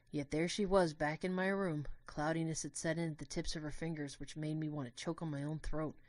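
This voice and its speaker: A woman giving an earnest, expressive first-person narration of a dramatic story. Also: sits low, no echo.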